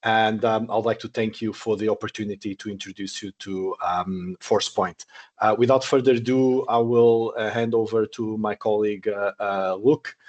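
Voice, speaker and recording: A man's voice: on the low side, fairly even intonation, normal speed, lo-fi sound. A man from Portugal, a native Portuguese speaker, introducing himself as regional manager on a call, hesitant and repeating words. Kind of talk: business introduction on video call. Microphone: online meeting audio.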